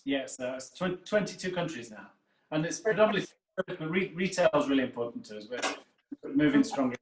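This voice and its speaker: Echoey, distant man pitching on a call. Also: animated, fairly quick, a little hiss or hum. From Northern Ireland. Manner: chatty, hesitant, uh and um fillers. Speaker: drinks company co-founder. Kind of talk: casual product pitch on a video call. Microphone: video call.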